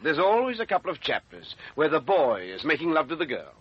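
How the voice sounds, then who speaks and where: Radio-drama commissioner: pompous, stuffy, husky, animated pitch swings, dull lo-fi recording. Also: male voice, fairly quick, exaggerated voice. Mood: huffy. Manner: pompous, flustered. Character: stuffy, blustering police commissioner. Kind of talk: 1940s radio acting.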